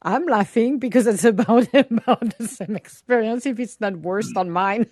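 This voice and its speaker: A woman's voice: a little high, moderate pace, darkish tone, no room sound, studio quality. A woman interviewing a guest in English, which is not her first language, asking curious questions and laughing often. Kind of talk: interview conversation.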